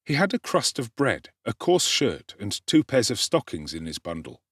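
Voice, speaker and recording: Man, dramatic storyteller, classic novel narration, very expressive melody, measured, close dry sound. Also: mid-range voice, slightly rough, no room sound. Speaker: audiobook narrator, actor.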